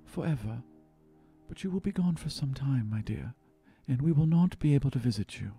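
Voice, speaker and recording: A man acts out a gentle fantasy father, heavy with sorrow. He speaks in halting, solemn phrases with wide swings of pitch, over a music bed, in a tabletop roleplaying game. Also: lively intonation, breathy edge, dry recording. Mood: grave, sad. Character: gentle, sorrowful elven father revealing a painful secret.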